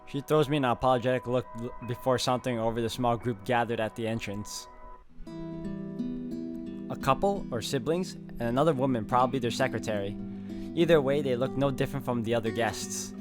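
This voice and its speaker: A man's voice: mid-range voice, brisk. Male game streamer who reads story text dramatically and adds his own reactions, faint music underneath. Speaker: gaming video host. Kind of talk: dramatic read-aloud narration with reactions.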